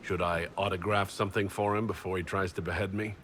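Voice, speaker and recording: An actor playing a composed, dryly witty elf leader in a video game, with a measured, questioning delivery. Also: male voice, deep voice, flat delivery, a bit bright, a little hiss or hum. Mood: dry, curious.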